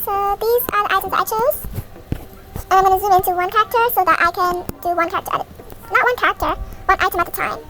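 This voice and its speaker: A woman's voice: very high voice, fast talker, bright, forceful tone, rough recording. A young woman giving a casual, step-by-step tutorial as a video creator in a voice-over. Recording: music behind the voice. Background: background music.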